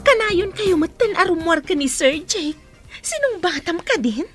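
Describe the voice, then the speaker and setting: A woman's voice: extremely high pitch, expressive, a little slow. A woman voicing a teasing young female friend in a dramatised story, with music underneath.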